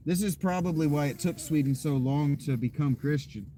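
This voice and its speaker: A man's voice: a little high, moderate pace, warm tone, slight background noise. A man streaming and chatting with viewers in a joking, rambling way, swearing freely. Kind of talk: casual chat.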